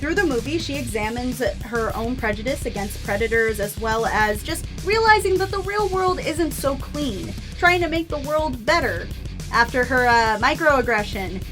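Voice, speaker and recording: A woman's voice: sits high, expressive, normal speed, over music. A woman hosting a scripted video essay, with an informal, opinionated and playfully emphatic manner.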